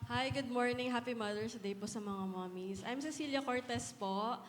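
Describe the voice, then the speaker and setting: A woman in her thirties shares a faith testimony in a fairly high, soft, reflective voice. Her speech mixes English and Filipino. A long hall reverb trails behind her, with faint noise. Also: normal speed. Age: 30s. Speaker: guest speaker, solo parent.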